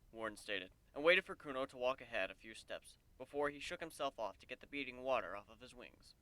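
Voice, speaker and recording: A man's voice: medium pitch, pushed and bright, dry recording. A man reading a story aloud as narrator, in the style of a dramatic audiobook. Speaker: narrator, fan fiction reader.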